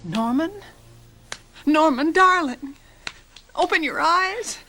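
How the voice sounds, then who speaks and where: An actress playing an affectionate, devoted middle-aged wife in a radio drama. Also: female voice, high-pitched, animated, slow pace, pushed and bright, exaggerated voice. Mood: alarmed. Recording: music under voice.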